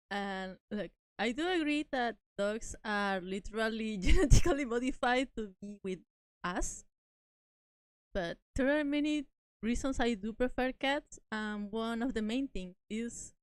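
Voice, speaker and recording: Woman, host, slow unhurried talk, giggly, dry close sound. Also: fairly high pitch, clean tone, no echo. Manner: giggly, laughs often. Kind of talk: casual debate chat.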